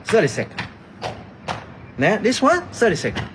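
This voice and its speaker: Animated high-pitched non-native male exercise instructor. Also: normal speed, background noise. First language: not a native English speaker. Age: middle-aged. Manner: short, simple, broken phrases with repetition. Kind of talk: exercise demonstration with counting. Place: outdoor terrace by a brick building.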